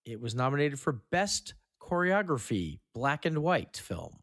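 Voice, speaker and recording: Male podcast host, lively swings in pitch, casual and joking, close dry sound. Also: measured pace, no room sound. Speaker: podcast host reading trivia. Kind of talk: casual film discussion with trivia quiz.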